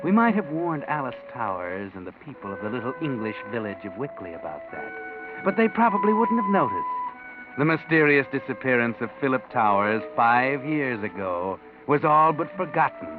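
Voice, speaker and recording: An actor playing a sinister, all-knowing mystery narrator, speaking in measured, portentous 1940s radio style. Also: male voice, medium pitch, lightly acted. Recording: muffled, narrow-band 1940s radio recording.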